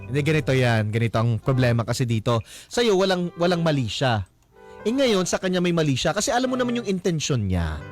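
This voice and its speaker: A Filipino man with a high, very lively voice hosts a radio advice show. He counsels in a conversational, explanatory way, often checking in with rhetorical questions, over a faint music bed. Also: brisk. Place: radio studio.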